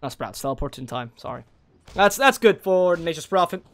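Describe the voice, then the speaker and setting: A man calls an esports match play by play in a fairly high register. His voice is naturally low, but he keeps it lifted for the cast. He gets excitable and breaks into high shouts when the big plays land. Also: moderate pace, dry recording. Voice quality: naturally low voice kept higher for casting. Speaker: esports caster.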